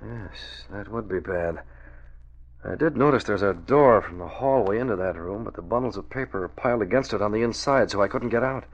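An actor playing a calm, assured male hero who plans a crimefighting scheme, in a dull old radio recording. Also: on the low side, brisk. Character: calm, confident male publisher and masked crimefighter. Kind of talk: 1940s radio acting.

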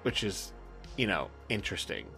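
A man's voice: deep voice, big pitch swings, moderate pace, creaky, pushed and bright, slightly rough, soft background music, poor audio. A man co-hosting a podcast in casual chat, often breaking off and restarting his thoughts as he goes. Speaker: podcast co-host.

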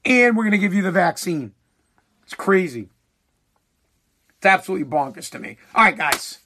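A man's voice: high-pitched, animated, moderate pace, bright, forceful tone. A man hosting his own podcast, ranting bluntly and heatedly with swearing while he is losing his voice. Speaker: podcast host.

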